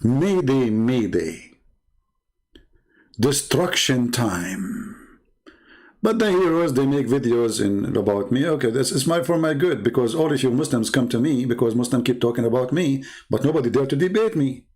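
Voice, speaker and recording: A man with an Arabic accent hosts a livestream. He taunts and challenges his viewers. His voice swings high when he scoffs, and he often breaks into short laughs. His pitch sits in the middle for a man. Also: lively intonation, normal speed, a little mellow, breathy edge. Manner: mocking, challenging, often raising his voice sharply. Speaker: livestream debate host.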